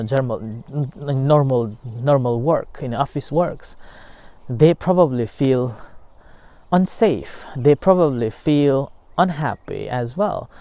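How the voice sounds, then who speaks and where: A middle-aged man speaks as a meditation teacher in a warm, mellow voice. His comes slowly, full of pauses and restarts, as he reflects aloud. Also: medium pitch, no room sound. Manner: halting, many fillers and restarts. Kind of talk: unscripted dharma talk.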